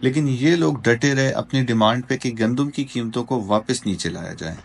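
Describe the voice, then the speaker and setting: A man narrating a travel vlog in a calm, informative scripted voice-over. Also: mid-range voice, normal speed, creaky, breathy edge. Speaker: travel vlogger. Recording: voice-over with loud background music.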